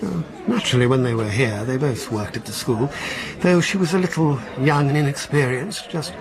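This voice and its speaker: A dry, wry older schoolmaster, played by a man. His pitch moves in a lively way and he talks with calm assurance. A crowd murmurs behind him. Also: moderate pace, lightly acted, low-quality recording. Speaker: second master at a school.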